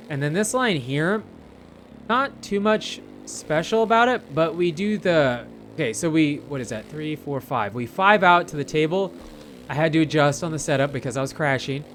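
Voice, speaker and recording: A man's voice: mid-range voice, a little hiss or hum. A man giving casual, rambling gameplay commentary as a video creator, often repeating phrases for emphasis. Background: racing game vehicle engine sounds.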